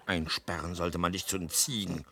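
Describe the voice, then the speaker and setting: An actor playing a domineering, impatient middle-aged farmer and father in a radio play, gruff and scolding. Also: on the low side, a little fast, sharp tone. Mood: irritated. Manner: barking, scolding. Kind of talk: theatrical radio drama acting.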